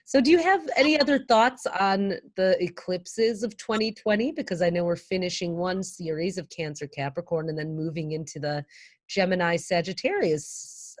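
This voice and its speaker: A woman's voice: on the low side, no room sound, clean studio sound. A woman hosting an interview in a casual, conversational style. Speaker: interview host.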